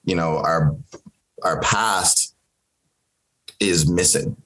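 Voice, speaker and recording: A young man with a very low, deep voice hosts a conversation. He grew up in Kenya speaking Swahili first. He talks in a loose, friendly way, often pausing with fillers and restarting his sentences. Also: poor audio. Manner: relaxed and chatty, with many fillers and restarts. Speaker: podcast host. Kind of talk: conversational interview.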